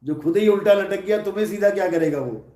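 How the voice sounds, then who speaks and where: A man's voice: high-pitched, quick pace, warm tone. A man giving a live spiritual discourse in a hall, asking rhetorical questions and answering them himself. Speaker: spiritual teacher. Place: large hall.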